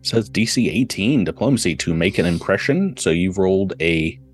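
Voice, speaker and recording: A man running a tabletop game as game master, reading out rules and narrating in a slow, deliberate way. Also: low-pitched, expressive, husky, soft background music.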